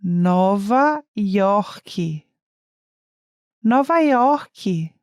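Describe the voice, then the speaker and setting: A woman speaks very slowly with a mellow, dark tone. She pronounces each word carefully and deliberately, repeating it for learners. The recording is close and dry. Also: mid-range voice, animated, no echo. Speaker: native-speaker example voice. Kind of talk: slow model pronunciation for learners.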